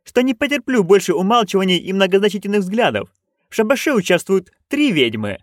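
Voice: medium pitch, big pitch swings, fairly quick, no echo, clean studio sound, pitched above the performer's own voice, natural voice. A reader voicing an earnest, principled young woman witch who speaks primly and insistently. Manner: slightly raised, prim and insistent. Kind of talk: audiobook character voice.

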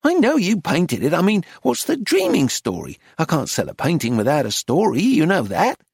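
A woman's voice: sits low, wildly swooping pitch, moderate pace, creaky, a little mellow, husky, no echo, studio quality, pitched above the performer's own voice, heavily acted. A man voicing a bossy, anxious woman art dealer who scolds and presses with demanding questions in a story reading. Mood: impatient, probing.

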